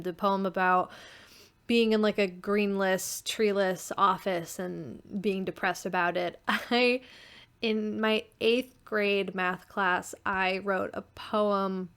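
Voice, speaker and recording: Unhurried woman in casual chat. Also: medium pitch, fairly bright tone, clear, resonant tone. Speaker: writer on a podcast talkback.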